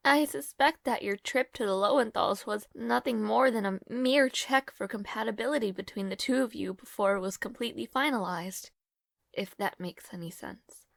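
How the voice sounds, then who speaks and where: A woman's voice: fairly high pitch, normal speed, vocal fry, no room sound, clean studio sound. A woman acting in an audio roleplay as a devoted young maid to a noble lord, nervous and caring, with a hesitant, formal and apologetic manner. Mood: earnest, gently chiding. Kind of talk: scripted audio roleplay, intimate fantasy drama.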